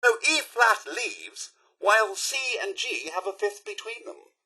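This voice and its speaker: A man's voice: high-pitched, animated, measured pace, sharp tone, husky, full character voice, low-quality recording. A man voicing a polite, fussy robot butler for a video game, with a British accent and a posh, theatrical, formal manner. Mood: jokey. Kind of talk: video game character voice lines.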